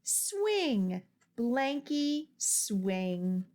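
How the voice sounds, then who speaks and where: A woman reading a children's picture book aloud with expressive, deliberate and rhythmic phrasing. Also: fairly high pitch. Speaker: children's storytime reader.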